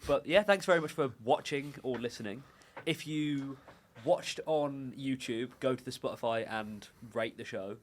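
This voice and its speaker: A man's voice: mid-range voice, normal speed, hardly any pauses. A young man hosting a sports podcast in casual chat, joking and laughing often. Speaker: podcast host.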